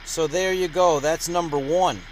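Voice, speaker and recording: A man's voice: a little high, steady tone, unhurried, a little hiss or hum, low-quality recording. A man hosting a conversational product review, presented in the manner of a radio show. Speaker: host of a radio gear review channel.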